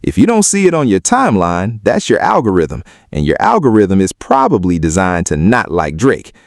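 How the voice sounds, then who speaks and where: Emphatic man with wildly swinging pitch. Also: wildly swooping pitch, moderate pace, gravelly, dry recording, studio quality. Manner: emphatic, argumentative. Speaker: video commentator on hip-hop. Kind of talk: opinionated video commentary.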